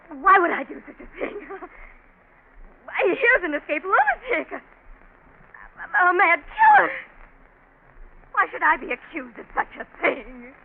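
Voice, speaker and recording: A woman's voice: extremely high pitch, wildly swooping pitch, heavily acted. Swooping, indignant scheming young woman. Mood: indignant, desperate. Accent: American. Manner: halting, breathless protest, melodramatic. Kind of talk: 1940s radio melodrama acting. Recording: noisy narrow-band 1940s radio.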